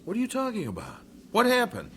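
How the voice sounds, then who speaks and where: An actor playing an earnest, plain-spoken missionary husband in an old-time radio drama. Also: male voice, very high voice, wildly swooping pitch, fast talker, fairly bright tone, slight background noise, full character voice. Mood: puzzled, alarmed.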